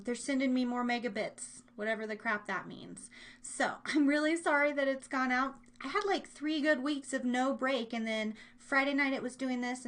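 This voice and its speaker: American woman, higher-pitched voice, casual live radio host, rambling, bubbly, informal. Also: a bit bright. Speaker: host of a live online radio show and podcast. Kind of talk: casual, chatty live hosting with listeners.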